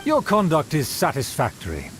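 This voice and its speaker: A man's voice: a little high, huge pitch swings, normal speed, fairly bright tone, a little hiss or hum. A man voicing a haughty, condescending male aristocrat in a video game, speaking in a formal and pompous way. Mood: approving.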